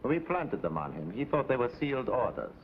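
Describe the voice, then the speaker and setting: Smug hired assassin, dull noisy recording. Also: male voice, mid-range voice, very animated, brisk. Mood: smug confession. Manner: formal, haughty, precise wording. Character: smug, calculating male hired assassin, haughty and bargaining. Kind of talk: 1950s television drama acting. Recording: dull, noisy old TV soundtrack.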